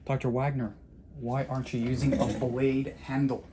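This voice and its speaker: A calm, focused podiatrist from Puerto Rico, quietly explaining as he treats a patient in his clinic. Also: medium pitch, normal speed. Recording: noisy clinic room.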